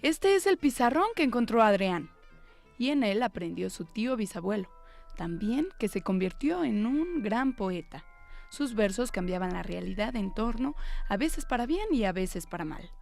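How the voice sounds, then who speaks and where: A woman reading a story aloud in an expressive storytelling style. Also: medium pitch, normal speed, gravelly, soft background music.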